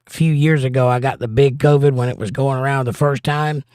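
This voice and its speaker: A man with a hoarse, partly lost voice, hosting a casual livestream and giving plain-spoken, folksy advice while reading viewer comments. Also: mid-range voice, fairly even intonation, fairly quick, a little mellow, no room sound, clean studio sound. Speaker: livestream host and pastor.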